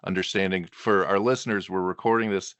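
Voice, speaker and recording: A man hosting a podcast, chatting in a relaxed, conversational way. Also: sits low, a little fast, no room sound. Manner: relaxed, conversational, uses "like" as a filler. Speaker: podcast host.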